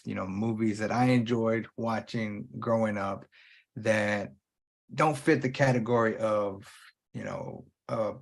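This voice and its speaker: A man hosting his own movie podcast alone, talking off the cuff in a loose, rambling way and swearing freely. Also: fairly low pitch. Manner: casual, unscripted, profane, jumps between thoughts. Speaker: podcast host. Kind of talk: rambling solo podcast talk.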